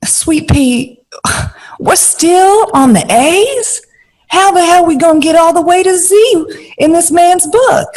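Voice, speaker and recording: A woman's voice: very high voice, lively intonation, slow, darkish tone, exaggerated voice, low-quality recording. An actress playing a bold, outspoken jazz-era film star in an audio drama, blunt and salty, stretching her phrases for emphasis. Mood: playful exasperation. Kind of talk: period audio drama dialogue.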